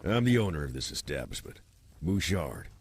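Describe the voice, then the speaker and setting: A man's voice: big pitch swings, moderate pace, a little mellow, a little hiss or hum, full character voice. A man playing a sly, folksy tavern owner in a video game. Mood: affable. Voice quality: very deep, husky. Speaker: tavern keeper character.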